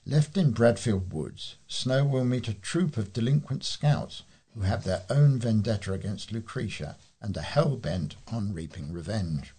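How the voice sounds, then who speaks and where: Male volunteer newsreader, rather low and husky, reading local stories from a script. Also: expressive, unhurried, few pauses, dark tone. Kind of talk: reading local news aloud.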